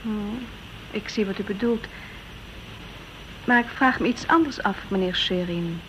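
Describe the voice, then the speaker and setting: An actress playing a composed, discreet secretary in a radio drama, formal, reserved and pointed, on a dull, noisy old recording. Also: female voice, mid-range voice, a little slow, a bit bright, lightly acted. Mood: probing. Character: composed, discreet female secretary, probing and stubborn.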